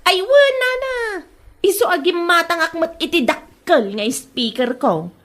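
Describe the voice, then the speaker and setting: A woman's voice: very high-pitched, lively intonation, measured pace, fairly bright tone, full character voice. A woman playing a feisty, spiteful grown daughter who defiantly feuds with a neighbour in a comedy audio drama. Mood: indignant gossiping.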